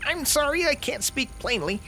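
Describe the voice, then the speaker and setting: A man's voice: very high-pitched, lively intonation, normal speed, sharp tone, a little hiss or hum, heavily acted. A tender, earnest father. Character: loving inventor father, middle-aged, earnest.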